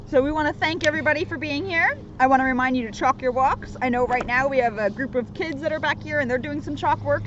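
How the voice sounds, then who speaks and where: An American woman with a high-pitched voice chats informally as a police officer at a community storytime. The outdoor recording is a little muffled, with some background noise. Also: fairly bright tone. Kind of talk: casual, informal community chat. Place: outdoors by a street.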